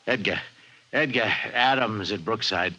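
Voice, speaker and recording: An actor playing a flustered, excitable lab security chief in 1950s radio drama style, stammering and repeating himself urgently. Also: male voice, lowish voice, a little slow, background noise, lightly acted. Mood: frantic, pleading.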